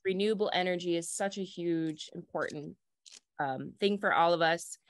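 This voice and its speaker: Hesitant woman moderator, low voice. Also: moderate pace, dry recording. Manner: hesitant, lots of fillers and restarts. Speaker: moderator asking questions. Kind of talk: casual conversational questions.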